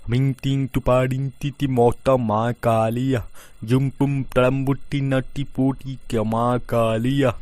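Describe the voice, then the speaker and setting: A man performs a cartoon sorcerer villain chanting nonsense spells. The delivery is rhythmic and incantatory, held on a flat, even pitch in a dry sound. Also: no echo, lightly acted. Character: villain chanting mock tantric incantations to a goddess. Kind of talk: cartoon story voice acting.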